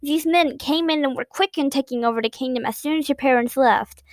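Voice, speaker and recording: A fiery young woman, tearful and indignant, pushing hard on every complaint, voiced by a woman reading a story. The voice is high and sits dry and close to the mic. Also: a little fast, no room sound, natural voice. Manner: indignant, emotional.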